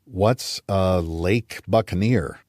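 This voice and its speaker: A man's voice: sits low, takes it slowly, a little mellow, dry recording, clean studio sound. A man voicing an eager, joking younger male pilot in audiobook dialogue. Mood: curious, joking.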